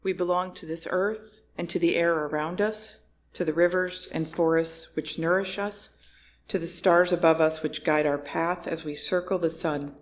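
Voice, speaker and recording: A lay reader giving a solemn, scripted liturgical reading in a measured, deliberate manner. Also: medium pitch, fairly even intonation. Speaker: congregant reading chalice lighting words.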